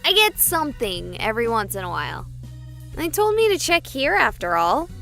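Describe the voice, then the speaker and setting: A woman's voice: a little high, very animated, moderate pace, creaky, a bit bright, faint music behind, heavily acted. An actress playing a sarcastic, chatty young woman streamer who talks to her viewers. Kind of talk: voiced game dialogue.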